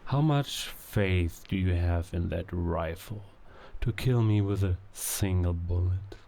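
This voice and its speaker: Male actor as werewolf king, calm, commanding, low dark voice, unhurried, audio drama. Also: vocal fry, a little hiss or hum, full character voice. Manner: slow, measured, commanding. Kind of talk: cinematic audio drama acting.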